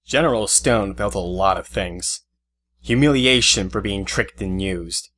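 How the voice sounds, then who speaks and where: A man's voice: fairly low pitch, measured pace. A man reading scripted narration for an audio drama. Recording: loud music and effects behind. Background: loud music.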